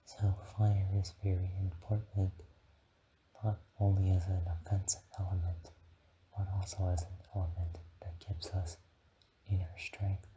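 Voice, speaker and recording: A man playing a gentle, encouraging dragon guide in a whispered ASMR guided meditation, speaking haltingly and soothingly. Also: low-pitched, little pitch movement, background noise.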